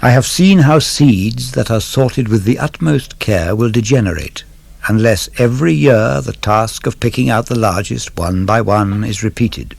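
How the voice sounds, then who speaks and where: A man giving a measured, deliberate recitation of verse, like a scripted dramatic narrator instructing his listeners. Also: fairly low pitch, creaky, no echo. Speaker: poetry reader.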